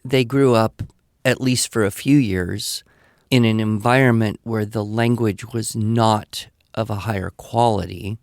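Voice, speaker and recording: A man's voice: lowish voice, steady tone, a little slow, vocal fry, darkish tone, dry recording, clean studio sound. An older man with an American accent giving conversational advice in a reassuring, explanatory manner. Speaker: podcast expert answering listener questions.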